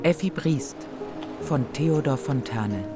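A man's voice: medium pitch, expressive, slow pace. A man reading credits as a formal radio announcer. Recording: loud music under the voice.

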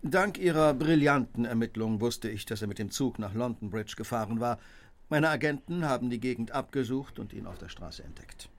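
A man's voice: medium pitch, lively intonation, normal speed, close to an everyday voice. An actor playing a self-assured male detective in a radio drama, commanding, precise and dryly ironic. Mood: dryly superior.

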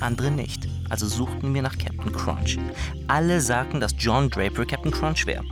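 Admiring engineer reminiscing over loud music acted by a man. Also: fairly low pitch, close to an everyday voice. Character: awed male engineer reminiscing about his idol. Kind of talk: German docudrama narration, reminiscing.

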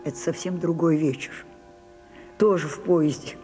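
An actress playing an imperious, willful older French stage star in a grand, declamatory radio drama style. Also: female voice, sits low, steady tone, normal speed, warm tone, lightly acted. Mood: reminiscing. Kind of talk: theatrical Soviet radio drama acting. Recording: music behind, mono radio broadcast.

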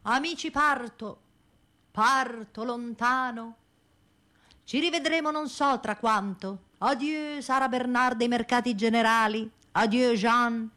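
Theatrical woman, declaiming with measured poise. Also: fairly high pitch, bright, forceful tone. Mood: wistful farewell. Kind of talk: scripted radio theatre reading.

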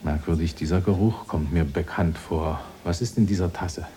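An actor playing an anxious, dutiful husband in a radio drama, speaking earnestly and with urgency. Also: male voice, deep voice, fairly even intonation, moderate pace, mellow, lightly acted. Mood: suspicious, urgent. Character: anxious, dutiful husband pleading for his wife.

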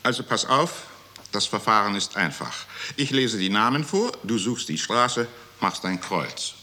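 Casting: a mocking, cold-blooded clerk in a 1960s radio play; slow, forceful, clipped instructions. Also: male voice, fairly low pitch, expressive. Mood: matter-of-fact, impatient. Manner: matter-of-fact, condescending, clipped instructions. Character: cold, mocking male bureaucrat of a deportation office. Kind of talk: 1960s German radio drama acting. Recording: 1960s radio studio recording.

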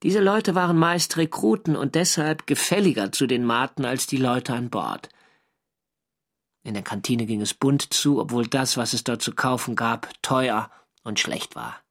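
An actor playing a wry First World War sailor narrating his war diary in measured, clearly articulated German radio drama style. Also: medium pitch. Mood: dry recounting.

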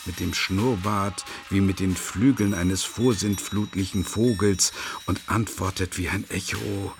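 A man's voice: deep voice, a little slow. A man acting in a radio drama as an anxious father who narrates his feverish nightmares expressively. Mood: agitated, feverish. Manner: expressive, building to agitation. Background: music.

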